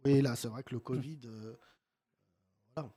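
A man hosting a live comedy talk show, teasing guests and the audience with rapid-fire jokes. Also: medium pitch, a crowd in the background. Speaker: host.

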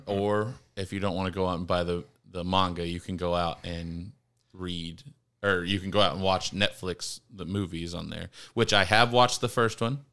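A man's voice: low-pitched, fairly even intonation, normal speed, few pauses, a bit bright, clean tone. A man in his thirties hosting a casual podcast with friends, joking and arguing his point with plenty of ums and uhs. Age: 30s. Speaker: podcast host. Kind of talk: casual chat among friends.

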